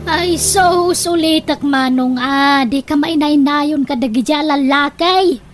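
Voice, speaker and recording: A high-pitched young woman from a radio comedy drama. She is outspoken and teasing, chatting with a cheeky edge over faint background noise. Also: slow pace. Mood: nagging, probing.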